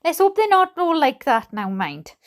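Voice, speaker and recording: A Welsh woman reading aloud casually with chatty, jokey asides to her online audience, in an expressive, self-deprecating way. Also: sits high, moderate pace. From Wales. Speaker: YouTube host reading letters aloud.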